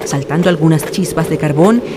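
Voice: mid-range voice, fairly quick. A narrator reading a scripted radio drama in a solemn, literary storytelling manner. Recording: noisy ambient radio drama recording.

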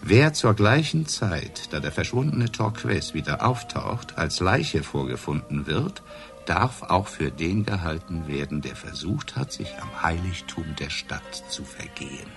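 An actor playing a hard-drinking, sardonic police inspector in a radio crime drama, accusing and probing with sarcasm and theatrical pauses. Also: male voice, low voice, animated, faint music behind. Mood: probing, dramatic.

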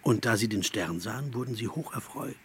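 A man's voice: on the low side, lively intonation, fairly quick, husky. An actor playing a sly tempter in a radio drama, mocking and insinuating as he needles his companion. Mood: murmured needling.

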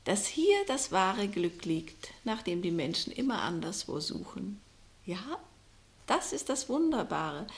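An actress playing a mysterious, knowing fortune-teller in a vintage German radio play, declaiming poetically with hushed, breathy drops. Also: female voice, mid-range voice. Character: mysterious fortune-teller woman casting spells, solemn and knowing. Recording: vintage 1960 radio drama recording.

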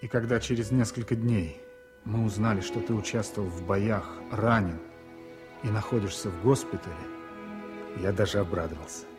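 A man playing a soldier comrade in a radio play, with a fairly low voice. He is earnest, gentle and measured, reflective and loyal, with music playing behind him. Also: lightly acted. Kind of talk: radio drama acting and reflective narration.

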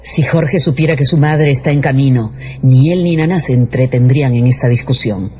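A man's voice: mid-range voice, normal speed, a little mellow, husky. A man narrating a radio drama as a scripted, dramatic storyteller. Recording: dull radio production with music bed.

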